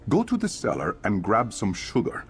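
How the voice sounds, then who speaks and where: Fantasy game innkeeper: creaky mid-pitched voice, hugely varied intonation, chatty, grumbling, curious, soft music behind. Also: male voice, moderate pace, heavily acted. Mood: curious, musing. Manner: expressive, musing aloud. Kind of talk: video game character acting.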